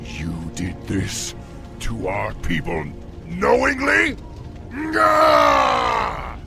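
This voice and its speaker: Over loud music, a resolute young orc war chief speaks in a deep, gravelly voice. His delivery is weighty and slow yet swings widely, commanding and earnest, in video game cinematic style. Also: huge pitch swings, heavily acted. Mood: urgent, outraged.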